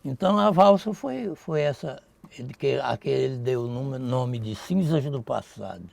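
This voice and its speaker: A man's voice: medium pitch, expressive, moderate pace, gravelly, darkish tone, no echo. A Brazilian man casually reminiscing as an interviewee. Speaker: interviewee recalling his music.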